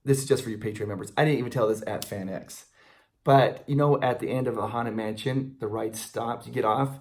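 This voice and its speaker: A man's voice: medium pitch, steady tone, a little fast, darkish tone. A middle-aged American man co-hosting a video, chatting casually and retelling stories with quoted dialogue. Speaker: co-host of a theme park video channel. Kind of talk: casual chat, anecdotal storytelling.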